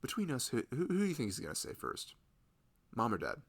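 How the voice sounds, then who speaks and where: A man playing a loving, nervous young husband and father-to-be in an intimate, rambling, soft-spoken ASMR roleplay. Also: huge pitch swings, fast talker, full character voice. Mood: playful. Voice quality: low, gravelly voice. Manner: rambling, soft-spoken, chuckling, often drifting toward a murmur.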